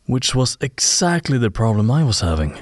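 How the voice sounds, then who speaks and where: A man's voice: medium pitch, very animated, normal speed, no room sound, studio quality, natural voice. A man playing a starstruck, awkward audio-tech fan in an audio drama. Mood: flustered, admiring. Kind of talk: audio drama acting, in-person dialogue.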